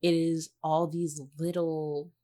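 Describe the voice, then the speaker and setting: A woman's voice: low-pitched, flat delivery, takes it slowly, dark tone. A woman chatting on a podcast in an informal, reflective, analytical way, often padding her points with "like". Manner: informal, reflective, hedging with frequent 'like'. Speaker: voice actor, podcast guest. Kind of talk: casual analytical podcast chat.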